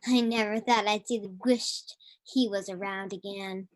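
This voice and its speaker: A woman's voice: mid-range voice, steady tone, measured pace, no echo, lightly acted. A woman acting a bitter, protective, plain-spoken farm sister in a radio drama. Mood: bitter, reproachful.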